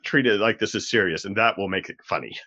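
A man's voice: on the low side, fast talker, bright, forceful tone. A man taking part in a casual podcast discussion. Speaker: podcast participant. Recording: slightly dull, lo-fi audio.

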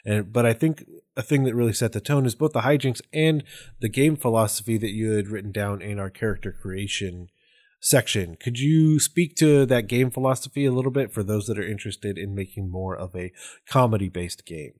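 A man's voice: fairly low pitch, brisk, darkish tone. Casual male podcast host, effusive and rambling.

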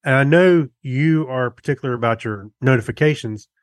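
A middle-aged man co-hosting a podcast, chatting casually and haltingly as he thinks aloud. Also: mid-range voice, no echo, clean studio sound. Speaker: podcast co-host. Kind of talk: casual chat about gadgets.

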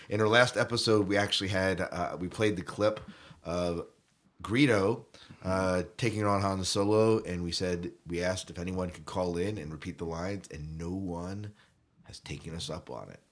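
A man's voice: deep voice, moderate pace, a bit bright. A man hosts a casual podcast. He chats with jokey, geeky banter, as one fan to another. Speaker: podcast host.

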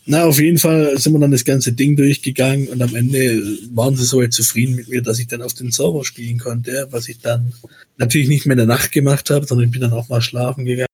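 Husky man with Swabian accent. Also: mid-range voice, flat delivery, fast talker, darkish tone, no echo. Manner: rambling, chatty, many hesitations. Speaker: podcast host. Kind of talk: casual chat.

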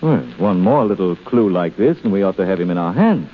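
A man's voice: low-pitched, animated, brisk, slight background noise. A man playing a suave, sardonic swindler posing as a haughty princely uncle in 1930s radio drama. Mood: suspicious, sardonic. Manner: pompous, sardonic, theatrical. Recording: muffled, reverberant vintage 1930s radio broadcast.

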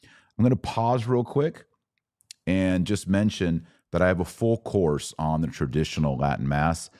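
A man's voice: sits low, unhurried, mellow, no room sound, studio quality. A man hosting a religious podcast, explaining things in a conversational, didactic way with emphatic restatements. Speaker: podcast host.

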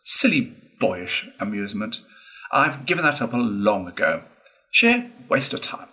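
A man voicing a boastful, excitable gentleman toad in a storybook, declaiming in a pompous, gushing way. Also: medium pitch, measured pace, voice pushed up. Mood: disdainful.

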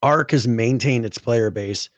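A man's voice: medium pitch, measured pace, no room sound. A man co-hosting a gaming podcast, his voice scratchy from a cold, explaining at length in casual chat. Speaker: podcast co-host.

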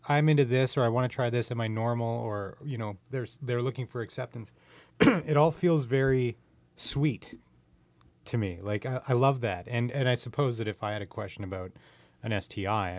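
A man hosting a podcast in a casual, informal and somewhat halting conversational style. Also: mid-range voice, no room sound. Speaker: podcast host. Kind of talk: casual interview chat.

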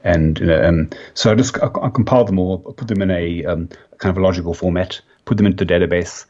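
A South African man in his fifties, a company founder giving a podcast interview, talking haltingly with many fillers and restarts. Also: sits low, darkish tone. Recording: dull lo-fi sound.

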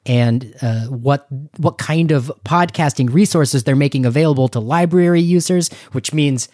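A man co-hosting a podcast, chatting casually in an excitable, effusive way. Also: a little high, normal speed, no echo, clean studio sound. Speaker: podcast co-host. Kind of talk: casual enthusiastic podcast chat.

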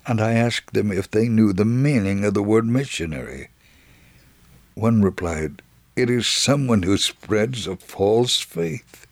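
A man playing an elderly, devout Israeli man, reading his first-person story in a measured, earnest, gravelly and husky voice for radio. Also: on the low side, darkish tone, no room sound. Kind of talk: dramatic scripted reading of a first-person testimony.

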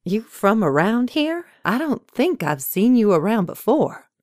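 A woman storyteller puts on a feisty old lady in her eighties. She is demanding and folksy, her voice rising high as she scolds, in a dry, close recording. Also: big pitch swings, unhurried, a little mellow, no echo. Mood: wary. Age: 80s. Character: feisty, indignant old Southern woman, nearly eighty-seven.

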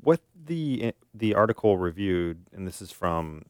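A man's voice: sits low, very animated, measured pace, smooth, no room sound, studio quality. A man co-hosting a casual podcast, talking in an informal, teasing way. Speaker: podcast co-host, therapist.

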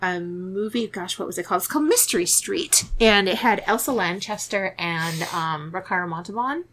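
A woman's voice: mid-range voice, lively intonation, normal speed, fairly bright tone, poor audio. A woman hosting a podcast, chatting casually about movies, laughing often and restarting her sentences. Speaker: podcast host. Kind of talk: casual chat about horror films.

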